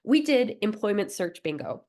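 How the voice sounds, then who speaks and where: A woman's voice: medium pitch, big pitch swings, moderate pace, dark tone, slight background noise. A young woman from Alberta presenting a recorded wellbeing workshop, coaching listeners in an encouraging way with light laughs and fillers. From Alberta, Canada.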